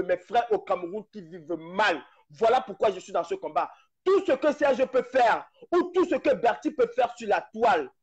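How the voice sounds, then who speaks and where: Man, very high pitch, fervent political talk, repeats himself for emphasis; muffled live stream sound. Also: breathy edge. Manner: emphatic, repetitive, rhetorical questions. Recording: dull lo-fi live stream audio.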